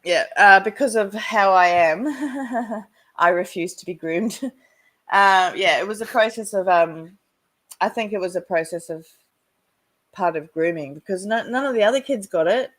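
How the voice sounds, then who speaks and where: A woman with a stuffy voice from a cold, sharing casually in an online recovery meeting, halting and restarting often. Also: mid-range voice, fairly bright tone. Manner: halting, many restarts, laughs between sentences. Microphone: video call.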